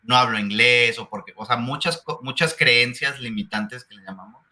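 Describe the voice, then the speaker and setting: A man working as a franchise consultant, hosting a casual interview and talking with frequent restarts that turn into rapid rhetorical questions. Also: medium pitch, sharp tone.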